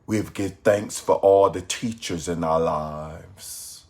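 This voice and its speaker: A man leading a guided meditation from a script in a calm, measured, soothing way with pauses. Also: low-pitched.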